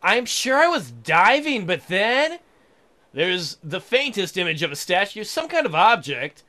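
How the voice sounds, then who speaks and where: A man's voice: sits high, very animated, a little slow, bright, forceful tone, heavily acted. A man voicing a dazed young woman diver from a visual novel, with groans and exclamations. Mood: groggy.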